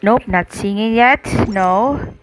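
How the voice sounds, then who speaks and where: A woman's voice: medium pitch, takes it slowly, low-quality recording. A woman doing casual gameplay commentary, talking to herself as she plays. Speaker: gaming video creator. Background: game music.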